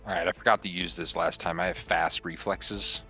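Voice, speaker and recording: A man's voice: sits low, steady tone, brisk, creaky, pushed and bright, soft background music. A man talking casually as a player in a tabletop game, on a dull lo-fi voice chat.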